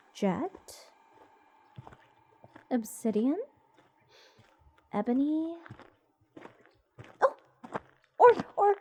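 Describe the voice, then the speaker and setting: A woman's voice: high voice, warm tone, full character voice. A woman playing a gushing, eccentric mage besotted with cursed creatures, in a rambling, whimsical fantasy ASMR roleplay addressed to the listener. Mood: excited, gushing. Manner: slow, rambling, doting, theatrically whimsical. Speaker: voice actor.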